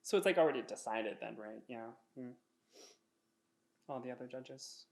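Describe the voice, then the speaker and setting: A man's voice: medium pitch, lively intonation, moderate pace, vocal fry. A man reacting casually in a discussion, blunt and sardonic, in short phrases. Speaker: panelist.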